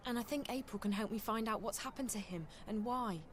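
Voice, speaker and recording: A woman's voice: a little high, fairly quick, slight background noise, close to an everyday voice. An actress playing an earnest, insistent young woman out of her element in a game. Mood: pleading. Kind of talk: game voice acting.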